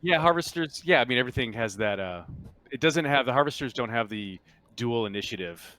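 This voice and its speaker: A man's voice: medium pitch, animated, brisk, pushed and bright, dry recording. A man speaking casually as game master, refereeing a tabletop roleplaying game.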